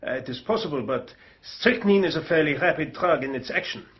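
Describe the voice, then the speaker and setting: An actor playing a precise, detached toxicology doctor, speaking in a formal clinical way in a radio drama. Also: male voice, on the high side, expressive, fairly quick, highs cut off, lightly acted.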